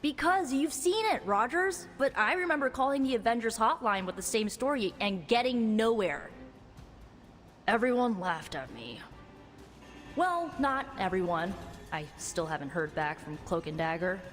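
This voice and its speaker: A woman's voice: fairly high pitch, animated, moderate pace, fairly bright tone, in a large hall, faint music behind. An actress playing a blunt, jaded young female witch who talks in a dry, sardonic way. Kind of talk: video game cutscene acting.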